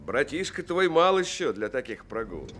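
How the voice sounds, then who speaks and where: An actor playing a plainspoken, kindhearted truck driver in an old Soviet radio play. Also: male voice, mid-range voice, big pitch swings, moderate pace, a bit bright, a little hiss or hum. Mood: gruff. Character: kindhearted middle-aged truck driver, blunt. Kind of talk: 1970s Soviet radio drama acting.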